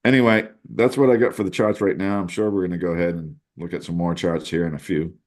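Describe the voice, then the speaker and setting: A man's voice: low voice, steady tone, fast talker, darkish tone. A man co-hosting a casual talk show, giving chart commentary in a conversational way. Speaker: crypto show co-host, chart analyst.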